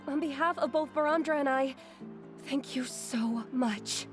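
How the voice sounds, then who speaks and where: Gentle young female companion for a game: courteous, deferential, somewhat high-pitched, over music. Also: a little slow. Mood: grateful. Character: gentle, polite, apologetic young woman travel companion. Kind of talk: video game voice acting.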